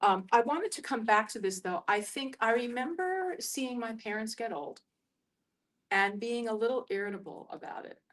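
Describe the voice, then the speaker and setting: A middle-aged American woman from New York facilitating a group discussion, musing aloud and telling personal stories in halting phrases. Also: fairly high pitch, fairly bright tone, distant mic. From New York, United States.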